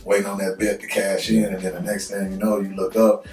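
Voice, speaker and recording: A man in his fifties, a guest on a podcast, talking in a reflective, unhurried way and often saying "you know what I'm saying". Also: deep voice, little pitch movement, a little mellow, reverberant, recorded from a distance, faint music behind. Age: 50s. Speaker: guest, record label owner. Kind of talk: casual podcast interview.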